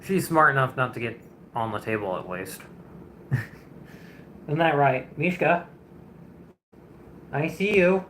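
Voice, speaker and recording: A man's voice: mid-range voice, lively intonation, slow pace, long pauses, a bit bright, a little hiss or hum. A man in his twenties, a streamer chatting casually and rambling with viewers during a painting livestream, chuckling often. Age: 20s.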